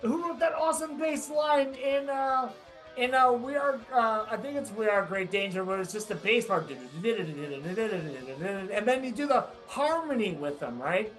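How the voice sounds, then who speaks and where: A man interviewing a band singer, asking eager, halting questions with many restarts. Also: sits high, animated, a bit bright, soft background music, rough recording. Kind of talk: casual enthusiastic interview.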